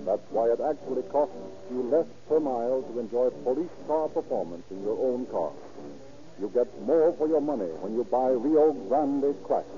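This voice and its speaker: A man delivers scripted 1930s radio announcing with formal, emphatic polish. His voice sits at a middling pitch. The broadcast is old and lo-fi, with prominent music underneath. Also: dark tone. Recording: dull vintage radio broadcast, music behind.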